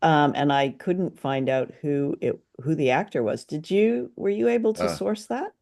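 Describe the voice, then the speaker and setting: Lively podcast co-host asking questions. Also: mid-range voice, vocal fry. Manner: lively, quick to laugh, asks questions. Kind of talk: casual chat about a radio drama.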